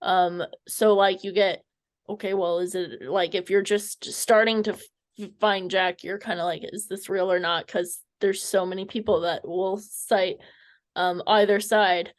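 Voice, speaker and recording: A woman's voice: medium pitch, fairly even intonation, unhurried. A woman interviewing casually, hesitant and searching for her words as she frames questions.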